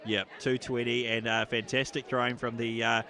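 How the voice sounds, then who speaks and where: A man calling a live track race, building excitement as the runners pull apart. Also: normal speed, vocal fry, a faint crowd. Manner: excitable, rises in pitch and volume during the race. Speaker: athletics commentator. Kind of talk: live athletics commentary. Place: outdoor stadium.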